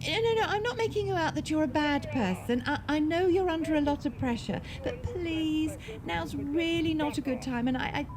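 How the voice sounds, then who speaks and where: High-pitched, anxious woman, frustrated campaigner. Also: lively intonation, moderate pace, few pauses, crowd noise. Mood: flustered, exasperated. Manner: earnest, agitated, self-questioning. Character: anxious, frustrated woman who ran a domestic abuse service. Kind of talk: radio drama monologue, talking to herself. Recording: clear background ambient noise.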